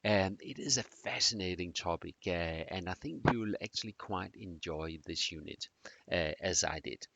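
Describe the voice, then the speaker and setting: A middle-aged man from Denmark with a Danish accent, introducing a course in a halting way with many fillers. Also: deep voice, vocal fry, a bit bright. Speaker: teacher.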